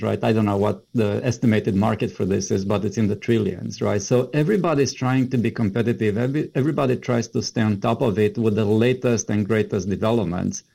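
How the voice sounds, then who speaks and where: A man's voice: medium pitch, gravelly, darkish tone. A middle-aged man with a Romanian accent, explaining things conversationally as an interview guest. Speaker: interview guest, computer science educator.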